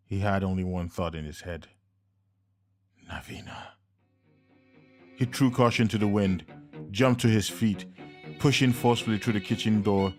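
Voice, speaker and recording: A man narrating a crime storybook in a dramatic style, dropping low on tense moments. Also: a little mellow, no room sound, studio quality. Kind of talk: scripted audiobook narration.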